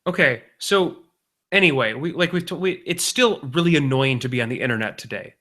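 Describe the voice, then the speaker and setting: A man's voice: fairly high pitch, animated, brisk, sharp tone. A man co-hosting a podcast, chatting casually with dry, sarcastic complaints. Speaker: podcast co-host. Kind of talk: casual chat.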